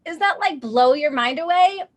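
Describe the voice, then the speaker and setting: A woman hosts an online tutoring session with bubbly, gushing enthusiasm. Her voice sits a little high, and her talk runs thick with filler words and excited asides. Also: moderate pace. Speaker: host of online tutoring masterclass. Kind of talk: enthusiastic webinar hosting.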